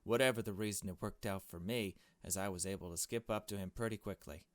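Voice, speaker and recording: A man reading visual novel narration as an anxious, conscientious young woman, measured and introspective. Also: on the low side, natural voice. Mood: matter-of-fact.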